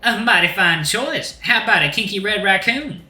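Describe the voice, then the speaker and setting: A man's voice: sits high, lively intonation, normal speed, sharp tone, roomy sound, pitched above the performer's own voice, exaggerated voice. A man voicing a flamboyant, sassy rooster drag queen bar owner with a folksy, effusive Southern American accent. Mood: sassy, welcoming. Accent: put-on American Southern. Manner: folksy, effusive, uses terms of endearment.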